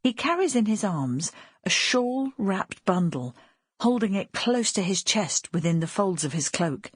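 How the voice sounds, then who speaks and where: A woman's voice: mid-range voice, very animated, measured pace, husky, no echo, clean studio sound. A woman reading a dramatic novel as scripted audiobook narration.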